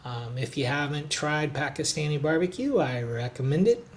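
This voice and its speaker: A man narrating a hotel and city tour for his travel vlog in a relaxed, chatty way, with frequent "uh" and "um" fillers. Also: a little high, normal speed. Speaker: travel vlogger, hotel reviewer. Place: recorded on the road in a noisy city.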